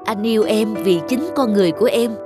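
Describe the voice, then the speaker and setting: A man voicing a devoted, gently regretful husband in a cartoon, soft and sincere. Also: sits high, fairly quick, over music, close to an everyday voice. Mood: tender, regretful. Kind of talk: Vietnamese cartoon voice acting.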